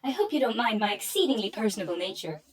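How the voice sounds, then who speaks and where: A woman's voice: mid-range voice, big pitch swings, fast, breathy edge, recorded from a distance. A woman playing an over-polite, perky robot messenger in a radio play, formal and officious. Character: chirpy, officious female robot messenger with forced friendliness.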